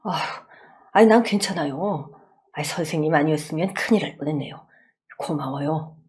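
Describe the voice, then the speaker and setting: Lady putting on old gentleman, lower and quieter, sighing, courteous, audio drama. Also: normal speed, close to an everyday voice. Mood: shaken, grateful. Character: grateful, shaken elderly male customer, gentle.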